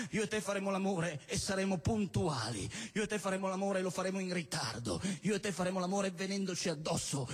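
A man's voice: high voice, slightly rough. A man performing theatrical spoken-word poetry on stage, declamatory, emphatic and irreverent, with insistent repetition. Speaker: performance poet. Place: live on stage at a cultural venue event.

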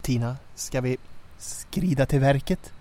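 A man's voice: medium pitch, warm tone, close to an everyday voice. An actor playing a chatty, anxious male sidekick in an audio drama.

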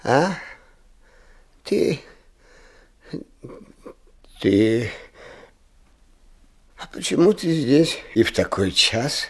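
An actor playing an eccentric old schoolteacher-inventor in a radio play, agitated and dreamy, muttering haltingly and repeating himself between exclamations. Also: male voice, mid-range voice, sing-song, breathy edge, full character voice. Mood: flustered, suspicious. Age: older. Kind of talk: theatrical radio play acting.

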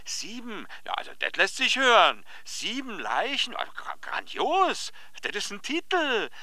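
An actor playing a pompous, excitable male publishing editor in a radio play, theatrical and condescending. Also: high voice, unhurried, no echo, full character voice. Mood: delighted, grandiose. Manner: theatrical, condescending, swooping emphasis. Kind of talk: German radio play dialogue.

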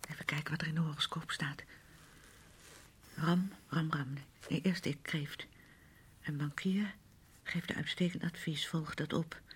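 An actress playing a fragile, needy wife in a radio play, childishly insistent and pleading, with a wandering way of talking. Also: female voice, low-pitched, steady tone, measured pace, pauses often, slightly rough, heavily acted. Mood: absorbed, murmuring. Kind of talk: Dutch radio play acting.

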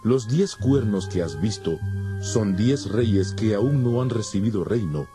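A man's voice: lowish voice, lively intonation, a little slow. A man voicing a solemn angel who declaims divine judgment in a dramatized scripture audiobook. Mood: solemn, explaining. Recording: loud music under the voice.

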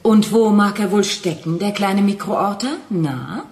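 A woman's voice: mid-range voice, unhurried, a little mellow, natural voice. A woman playing a cool, scheming mastermind in a radio play, poised and mockingly polite. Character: cool, seductive, scheming female mastermind posing as secretary. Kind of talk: German radio play acting.

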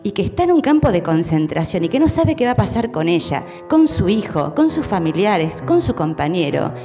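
Argentine woman, impassioned testimony, lively intonation, music underneath. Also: fairly low pitch, normal speed. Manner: emphatic, insistent.

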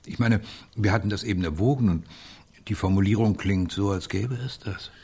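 An actor playing a fretful, self-pitying middle-aged artist, a helpless younger brother, in a German radio play, hesitant and plaintive. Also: male voice, fairly low pitch, moderate pace, warm tone, husky. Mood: anxious.

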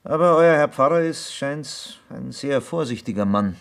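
An actor playing a calm family relative who gently explains things to the son in a radio play. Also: male voice, mid-range voice, moderate pace, creaky. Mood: explaining.

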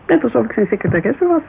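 A woman's voice: fairly high pitch, fairly quick, creaky. A woman playing a chatty, loyal businesswoman giving a witness account in a naturalistic radio drama, telling it informally with digressions. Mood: amused, candid. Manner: informal, digressive, animated storytelling. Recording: muffled, hissy old radio recording.

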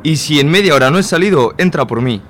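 A man's voice: a little high, animated, fast talker, fairly bright tone, a little hiss or hum. A man playing a curt, irritable middle-aged family man in a radio serial, with an accent from Spain. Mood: irritated. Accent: Spanish from Spain. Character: grumpy conservative middle-aged family man, fed up and evasive.

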